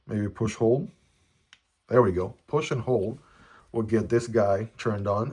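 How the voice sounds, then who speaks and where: A man whose first language is Chinese, chatting casually through a product unboxing, often tagging his sentences with "right?". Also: on the low side. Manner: chatty, frequent "right?" tag questions. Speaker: product reviewer. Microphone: phone camera.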